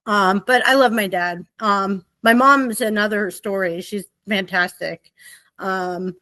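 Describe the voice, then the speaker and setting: A woman's voice: mid-range voice, fairly bright tone, no echo. A woman in her forties from Oregon, a podcast guest telling her story candidly and at length. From Oregon, USA. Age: 40s. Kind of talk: candid personal conversation.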